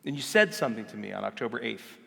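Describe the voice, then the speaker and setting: A man moderating an onstage conversation at a live event, earnest and halting, with frequent restarts. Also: lowish voice, animated, gravelly, fairly bright tone, big-room sound. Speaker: moderator, organization CEO. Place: large hall, live event.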